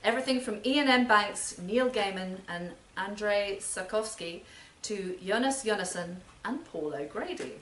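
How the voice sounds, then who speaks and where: A woman's voice: medium pitch, expressive, measured pace, hardly any pauses, fairly bright tone. A woman hosting a live literary event, giving a scripted introduction for a reader. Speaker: host introducing a reading. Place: performance space in a library, live event. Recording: roomy, distant live recording with noise.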